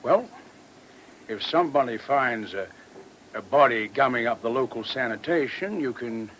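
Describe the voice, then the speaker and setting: An actor as a wry major, noisy recording. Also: male voice, medium pitch, animated, normal speed, a bit bright, natural voice. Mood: wry, unhurried. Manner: casual, wry, folksy phrasing. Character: worldly, unrepentant army major, cynical and easygoing. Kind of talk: radio play acting. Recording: noisy old radio drama recording.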